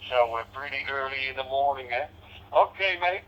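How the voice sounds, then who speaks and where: A man's voice: medium pitch, moderate pace, a little hiss or hum. Dutch man on muffled ham radio. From Netherlands. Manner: frequent "eh?" tags, repeats phrases, hesitant. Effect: digital voice radio. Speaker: amateur radio operator. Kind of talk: casual radio chat. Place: in a parked car, engine running. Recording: muffled, band-limited digital ham radio transmission.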